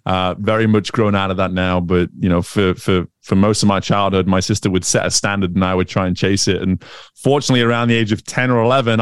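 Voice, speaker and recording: Smooth low-voiced man, mixed English-American accent. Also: dry recording, studio quality. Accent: Northern English with American influence. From England. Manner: chatty, many fillers and restarts. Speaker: guest, swim coach. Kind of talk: casual interview conversation.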